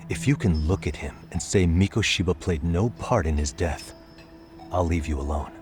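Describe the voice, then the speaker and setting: A probing detective type voiced by a man in a video game drama. His voice is low and deliberate, calm yet insistent, with a clear score playing underneath. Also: close to an everyday voice. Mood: pressing. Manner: pressing, deliberate, serious. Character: dogged, probing male investigator, calm but insistent. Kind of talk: dramatic game cutscene acting. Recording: dramatic music behind.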